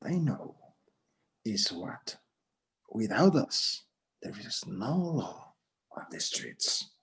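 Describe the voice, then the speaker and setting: A man playing a solemn elderly rancher turned vigilante in a screenplay read, grave and deliberate, mixing Spanish and English. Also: on the low side, very animated, vocal fry, fairly bright tone, full character voice.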